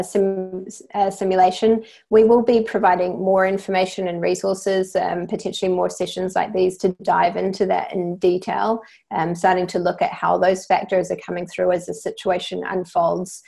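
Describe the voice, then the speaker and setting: A woman with a New Zealand accent moderates an online panel, her voice carrying a noticeable gravelly fry. She keeps her delivery even and level, calmly thanking speakers and reading out questions. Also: medium pitch, moderate pace. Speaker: webinar host. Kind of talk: moderating a webinar.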